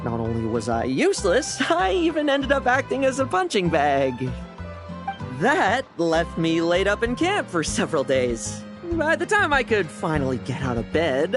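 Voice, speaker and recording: A man's voice: very high-pitched, wildly swooping pitch, unhurried, music in the background, exaggerated voice. A man voicing a playful, cocky young knight with a slightly menacing, theatrical lilt in a video game. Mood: self-deprecating. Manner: teasing, lilting, theatrical.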